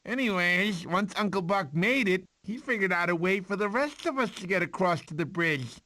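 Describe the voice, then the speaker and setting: A man's voice: sits high, expressive, moderate pace, pushed and bright, dry recording. A man voicing a goofy, boastful, doting cartoon sloth uncle who tells bedtime stories theatrically and stumbles over his words. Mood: storytelling. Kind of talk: animated film voice acting, bedtime storytelling.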